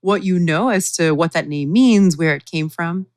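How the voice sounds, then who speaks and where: A woman hosting a podcast, reading a scripted intro and asking interview questions in a calm, measured way. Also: on the low side, dry recording, studio quality. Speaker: podcast host.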